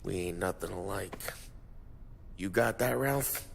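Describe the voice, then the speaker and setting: A man's voice: sits low, slow, gravelly, slight background noise, exaggerated voice. A man playing a brash, streetwise mobster with a New York Italian-American accent, teasing, in a video game cutscene. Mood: bossy. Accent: New York-style Italian-American.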